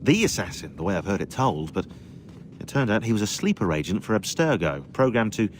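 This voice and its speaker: A calm male technician in a game. He explains things evenly at a fairly low pitch with lively intonation. A clear noisy background sits under his voice. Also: a little fast. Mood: explaining. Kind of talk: video game cutscene acting.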